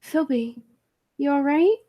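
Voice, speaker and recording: A bold professor of invention acted by a woman, absorbed in daring experiments. The voice is fairly high and very unhurried, commanding and theatrical in the manner of 1940s radio drama. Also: expressive, mellow. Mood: calm wonder. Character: Dudley, bold, absorbed scientist and professor inventor of a time machine. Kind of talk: 1940s-style radio play acting.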